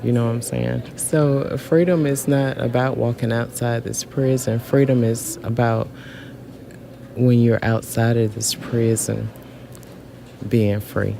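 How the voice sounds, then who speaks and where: Slow, creaky-voiced middle-aged woman. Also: a bass voice, steady tone, background noise. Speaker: incarcerated artist. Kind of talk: heartfelt informal interview answer. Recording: location recording with crowd chatter behind. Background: background voices.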